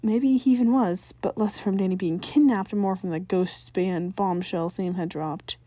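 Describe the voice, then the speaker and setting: Mellow woman reading a story aloud. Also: medium pitch, steady tone, normal speed, dry recording. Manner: stumbles over words and rereads them. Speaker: amateur fan-fiction reader. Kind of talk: scripted story narration, read aloud.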